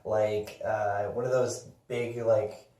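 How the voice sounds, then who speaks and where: A man's voice: lowish voice, level tone, slow pace. A man hosting a podcast in casual chat, often rephrasing as he addresses viewers. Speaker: podcast host. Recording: roomy, distant mic with clicks and noise.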